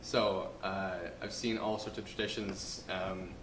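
A man's voice: low-pitched, flat delivery, measured pace. A man who performs on stage, talking casually in a group interview. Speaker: stage cast member. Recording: distant mic, echoey noisy room.

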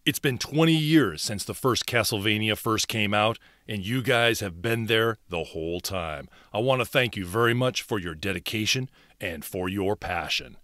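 A man voicing a valiant, devout vampire hunter from a video game, speaking in an earnest, measured, theatrical way. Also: on the low side, animated, fairly bright tone, no room sound, clean studio sound. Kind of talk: dramatic video game character acting.

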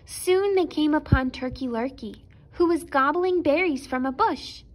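A woman's voice: sits high, a little slow, a little hiss or hum. A woman storyteller narrating a children's picture book expressively for preschoolers.

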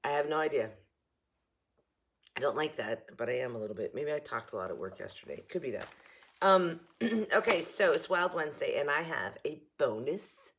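Woman in her fifties, a bit hoarse, lowish voice, rambling, self-mocking, home kitchen, camera at arm's length. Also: animated, moderate pace, a bit bright, a little hiss or hum. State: a little hoarse. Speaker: haul vlogger. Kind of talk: casual chat to camera. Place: home kitchen, indoors, early morning.

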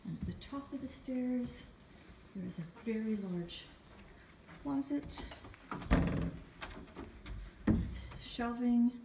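A woman giving a walk-through house tour as a rental agent, halting between short phrases, recorded on a handheld phone. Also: a little high, lively intonation. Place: walking through an empty house. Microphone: handheld phone behind the camera. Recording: echoey empty rooms, clear background noise.

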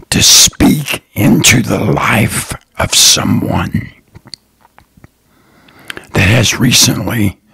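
A man hosting his own podcast, talking slowly and earnestly in a raspy, partly whispered voice. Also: on the low side, fairly bright tone, no echo. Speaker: podcast host, lawn care business owner. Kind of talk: slow, earnest solo podcast talk.

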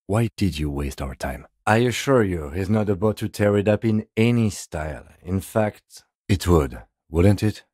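A methodical, patient police detective in a video game. His voice is extremely deep and mellow, with a husky grain. He speaks in a measured, restrained way, dry and deadpan. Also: no room sound. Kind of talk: scripted game dialogue.

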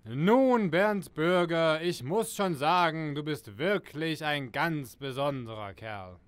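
A stately, approving president acted by a man speaks slowly in a rather high, smooth voice. It is comic radio drama with a dry sound. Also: lively intonation, dry recording. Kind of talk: comic radio play acting.

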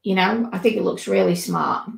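A woman hosts a video call chat through a headset, her voice gravelly with vocal fry. She speaks in a chatty, conversational way, and the room around her sounds echoey. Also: medium pitch, normal speed. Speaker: host.

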